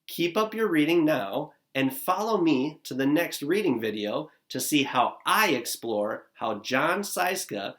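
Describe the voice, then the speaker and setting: A man's voice: on the high side, expressive, a little slow, few pauses, a bit bright. A man in his thirties, a teacher recording an upbeat lesson for young children, talking straight to them from his living room. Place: living room at home, indoors. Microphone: camera at desk distance, seated facing it.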